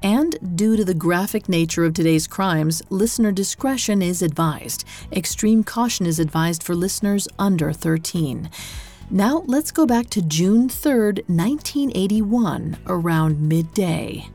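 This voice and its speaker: Female podcast host, lower register, measured and dramatic scripted crime storytelling over a faint music bed. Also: expressive, dark tone. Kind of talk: scripted true-crime narration.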